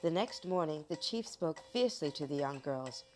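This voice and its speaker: A woman narrating a children's folk tale from a script in a dramatic, expressive storytelling manner. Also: low voice, normal speed, faint music behind.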